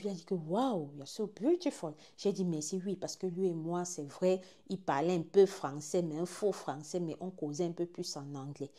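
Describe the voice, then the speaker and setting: Twenties woman, Gabonese French accent, low mellow tone, animated storyteller with hushed asides. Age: 20s. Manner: animated, swings from hushed asides to loud exclaims, repeats phrases. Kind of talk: dramatic first-person storytelling.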